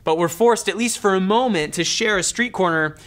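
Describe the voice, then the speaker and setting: A man's voice: high-pitched, brisk, fairly bright tone. A man in his thirties, a pastor, preaching a sermon in an earnest, conversational storytelling manner. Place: dark studio, indoors.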